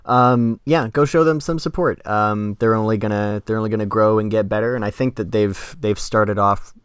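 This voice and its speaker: A man's voice: on the low side, fairly even intonation, moderate pace, clear, resonant tone, no room sound, clean studio sound. A man hosting a casual review podcast, talking enthusiastically about what he likes. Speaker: podcast host.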